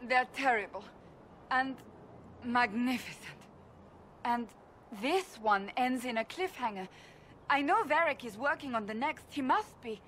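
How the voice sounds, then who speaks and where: An actress playing a proud, gruff warrior woman in a fantasy video game, clipped, defensive and emphatic. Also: sits high, measured pace, long pauses, a bit bright. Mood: sheepish, fervent. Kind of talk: cinematic game dialogue acting. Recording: faint background noise.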